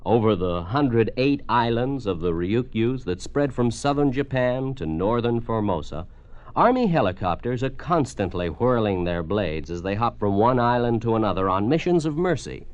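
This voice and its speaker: An American man reading a scripted 1950s radio announcement in a measured, solemn, oratorical manner. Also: mid-range voice, sing-song. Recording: dull old 1950s radio recording.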